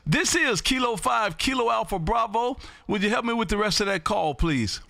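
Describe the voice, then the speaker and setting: A man in his fifties from Texas, hosting a live amateur radio stream from his home radio room and calling out for contacts in a loud, drawn-out sing-song. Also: sits high, animated, vocal fry, heavy background noise. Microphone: studio boom mic, headphones.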